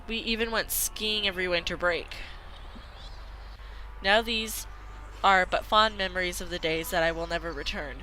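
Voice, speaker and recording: A woman hosting a gaming video, reading a visual novel aloud haltingly, stumbling and correcting herself. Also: medium pitch, pushed and bright, poor audio.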